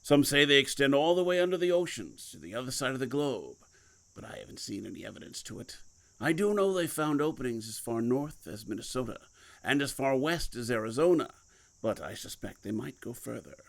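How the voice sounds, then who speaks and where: Man acting a gravelly, secretive mentor. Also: medium pitch, lively intonation, fairly quick. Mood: cryptic, assured. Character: secretive, commanding, guilt-burdened older male mentor and survivor. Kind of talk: audio fiction podcast acting.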